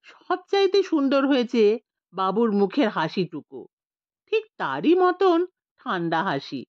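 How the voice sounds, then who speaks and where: A woman's voice: on the high side, sing-song, takes it slowly, dull audio. A woman voicing a fawning, self-important old male clerk with a knowing, opinionated manner in a comic story. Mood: admiring.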